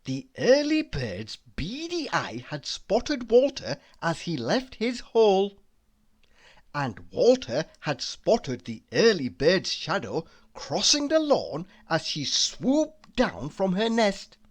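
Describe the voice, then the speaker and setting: A woman reading a children's story aloud, deliberately and with dramatic emphasis. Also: medium pitch, huge pitch swings. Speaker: storybook reader. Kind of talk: expressive children's read-aloud narration.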